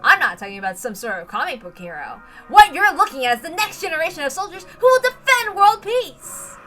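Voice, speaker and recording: A woman's voice: very high-pitched, big pitch swings, brisk, over music, pitched above the performer's own voice. A woman voicing a rousing military promotional video announcer in a grandiose, proclaiming manner. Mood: proud, rousing.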